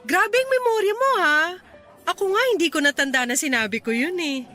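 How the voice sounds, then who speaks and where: Bright, high-voiced young Filipina, teasing yet guarded. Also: female voice, expressive, fast talker, soft background music. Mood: playful teasing. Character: young single woman, teasing but guarded, goal-driven. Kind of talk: radio drama acting.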